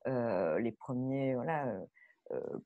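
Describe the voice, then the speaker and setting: A woman speaking casually and spontaneously as a guest director on a panel, animated and digressive in the way she talks. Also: extremely low pitch, slow pace, phone-quality sound.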